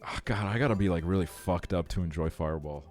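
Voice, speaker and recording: A man with a fairly low-pitched voice chats casually as he livestreams a game. His talk is slangy and full of swearing. Soft music plays behind him. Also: fast talker. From Estonia. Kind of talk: casual chat while streaming a video game.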